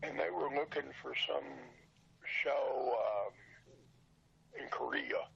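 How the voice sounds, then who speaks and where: An older man, sixty or above, chatting casually as an interview guest in a husky, gravelly voice, plain-spoken and folksy. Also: medium pitch, slow pace, a bit bright. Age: 60s or older. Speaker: interview guest, old radio show dealer. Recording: dull lo-fi audio.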